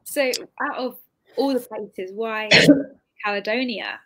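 High-voiced young woman interviewer. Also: slow, poor audio. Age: 20s. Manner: informal, rambling questions. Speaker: host, interviewer. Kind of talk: casual chatty interview. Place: plain room indoors. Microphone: video call.

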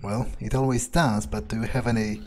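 A man improvising in character as he plays a game, pausing often mid-sentence. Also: fairly low pitch, steady tone. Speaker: gamer playing in character. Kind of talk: improvised in-character roleplay commentary. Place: voice-over on game footage, faint game music behind.

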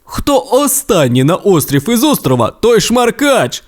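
A storyteller voicing a cheeky young male dinosaur who loves teasing his brother, in a high, playful voice. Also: big pitch swings, a little slow. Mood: taunting.

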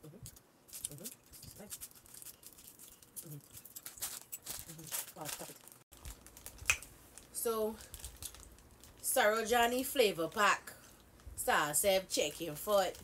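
Young Jamaican woman, unhurried drawl, chewing mid-sentence; bedroom camera, loud wrapper crinkle. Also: medium pitch, lively intonation, noisy background. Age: young adult. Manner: chewing while talking, drawn-out teasing repeats. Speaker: vlogger. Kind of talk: casual snack taste-test chat. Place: small bedroom indoors. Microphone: fixed front-facing camera. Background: crinkling snack wrappers.

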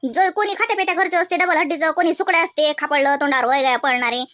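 Woman playing a high-pitched, chatty cartoon housewife. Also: fast talker, fairly bright tone, dry recording, studio quality. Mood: catty, mocking. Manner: polite and chatty, with catty muttered asides. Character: homely, plain-living housewife hostess, privately sharp-tongued. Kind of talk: exaggerated cartoon comedy dialogue.

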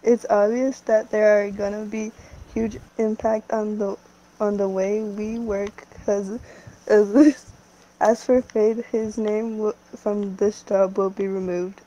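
A hesitant female company owner , voiced by a woman in a fan-made game story. Her voice is mellow, flat and unhurried. She stops and restarts often as she speaks. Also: mid-range voice, muffled recording, close to an everyday voice. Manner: hesitant, halting speech with fillers and nervous laughs.

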